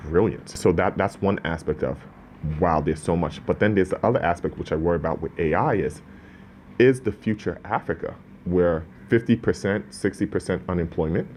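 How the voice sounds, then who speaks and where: A man's voice: low-pitched, moderate pace, a little mellow, a little hiss or hum. A man born in Ghana, speaking as a business owner in an interview, earnest and prone to restarts. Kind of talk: casual interview talk.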